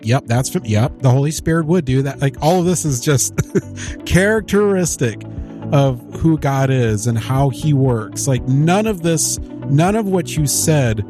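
A man hosting a faith podcast, reacting to a guest's story in an earnest, animated way. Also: mid-range voice. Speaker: podcast host.

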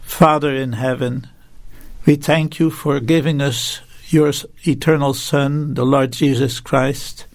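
Guy, kinda gravelly, careful slow thinker, dry close mic. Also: medium pitch, steady tone, dry recording. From Netherlands. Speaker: guest theology professor. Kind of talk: interview and prayer.

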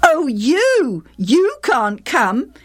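A woman's voice: extremely high pitch, very animated, unhurried, a bit bright, voice pushed up, exaggerated voice. A woman voicing spiteful young brothers in a story, whining and taunting. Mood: jeering. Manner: whiny, taunting. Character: spiteful, whining boys, jeering at their half-brother.

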